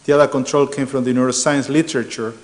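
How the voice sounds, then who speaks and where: A middle-aged man with an Argentine Spanish accent giving a measured academic conference talk, with frequent hesitations. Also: medium pitch, steady tone. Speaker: architecture professor presenting research. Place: large lecture hall. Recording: reverberant hall.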